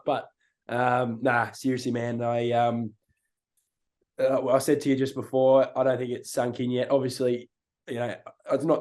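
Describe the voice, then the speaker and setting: A hoarse young man in his early twenties with an Australian accent, co-hosting a casual chat, talking in an excited, rambling way and laughing often. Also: medium pitch. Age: young adult. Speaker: podcast co-host.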